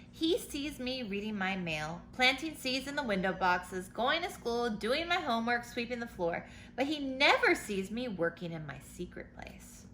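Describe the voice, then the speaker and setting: Woman reading as an animated, eager young girl. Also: a little high. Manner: expressive, earnest storybook letter reading. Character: eager, cheerful young girl writing letters home. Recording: noticeable background noise.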